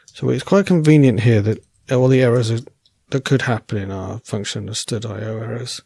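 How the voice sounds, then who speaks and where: A man thinking aloud while writing code as a programming tutor, relaxed and wry, correcting himself as he goes, and losing his voice. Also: medium pitch, normal speed, creaky, dry recording, clean studio sound.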